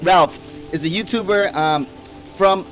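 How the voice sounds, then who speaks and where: A man with a fairly high voice hosts a relaxed interview, chatty and encouraging, dropping "bro" into his questions. Music runs under his voice throughout. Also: unhurried. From grew up in Florida. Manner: friendly, lots of "yeah, yeah" and "bro". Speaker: podcast host. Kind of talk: casual interview.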